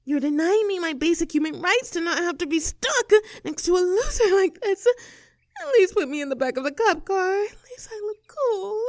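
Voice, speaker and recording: A woman's voice: high voice, dry recording. Bratty young mean girl, acted by a woman, close and dry. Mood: indignant, whiny. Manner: bratty, sarcastic, indignant. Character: snooty, abrasive young mean girl under arrest. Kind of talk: acted audio roleplay drama, addressing the listener.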